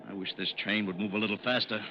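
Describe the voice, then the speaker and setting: A man's voice: fairly low pitch, fairly even intonation, quick pace, natural voice. A man acting a businesslike, concerned FBI agent in a 1940s radio drama. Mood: worried. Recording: muffled old radio, loud train noise.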